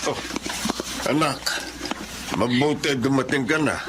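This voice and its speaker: A man playing a worried elderly grandfather in a radio drama, asking slow, fretful questions. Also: medium pitch, over music, lightly acted. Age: older.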